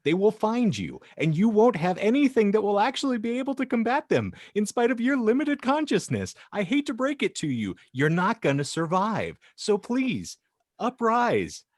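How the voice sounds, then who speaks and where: A man acts out a despotic president in a comedy podcast sketch. He speechifies with pompous, theatrical contempt, his pitch swinging widely, in a dry, close recording. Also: sing-song, normal speed, dry recording. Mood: menacing, goading. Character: despotic ranting US president, male, gleefully contemptuous.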